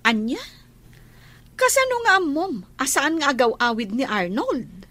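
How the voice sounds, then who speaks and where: Radio drama: devout, admonishing woman friend, Ilocano accent, high lively voice, caring. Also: unhurried, no room sound, heavily acted. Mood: disapproving.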